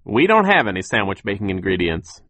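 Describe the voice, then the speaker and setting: A man's voice: fairly low pitch, big pitch swings, fairly quick, fairly bright tone, no echo. A man voicing a sunny, optimistic mill worker who explains things in an upbeat way in a storybook. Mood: gently resigned.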